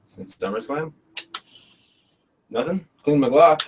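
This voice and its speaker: A man from Canada co-hosting a casual podcast, talking in a relaxed, rambling, joking way in a small room. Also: fairly high pitch, slow pace, a little hiss or hum. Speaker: podcast co-host. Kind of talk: casual chat about shooting. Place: small bedroom, indoors.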